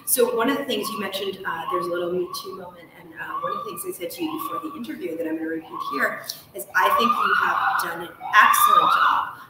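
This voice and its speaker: A woman hosting a conversational book interview, effusive and discursive, pausing often as she builds long questions. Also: mid-range voice, huge pitch swings. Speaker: host and interviewer. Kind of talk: live on-stage style book interview, conversational. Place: large ornate hotel room, indoors. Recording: echoey room, distant mic, faint noise.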